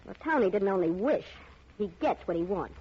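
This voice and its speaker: A woman's voice: medium pitch, lively intonation, a little fast, breathy edge. An American actress playing a brassy, defiant, jilted gangster's girlfriend in a 1940s radio drama, slangy and insistent. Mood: insistent, scornful. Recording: muffled, noisy old radio recording.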